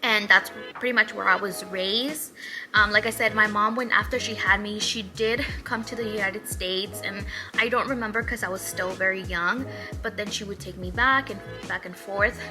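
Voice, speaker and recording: A woman's voice: medium pitch, fairly even intonation, moderate pace, over music. A woman in her twenties from Mexico, chatting upbeat and rambling through a get-to-know-me vlog. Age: 20s. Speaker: hairstylist vlogger. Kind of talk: casual Q&A vlog.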